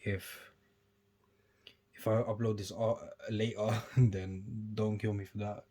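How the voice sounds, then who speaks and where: A young man talks in a low, easygoing voice. He rambles casually through an unboxing, his words often dropping to a near mumble before picking up again. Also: little pitch movement, slow pace. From United Kingdom. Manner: chatty, rambling, trails off quietly between bursts. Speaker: sneaker YouTuber.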